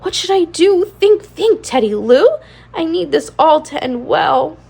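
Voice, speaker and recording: A woman's voice: high voice, animated, unhurried, vocal fry, a little mellow, voice pushed up, full character voice. A woman voicing a curious, worried little boy from a storybook, exclaiming and asking questions in a wide-eyed way.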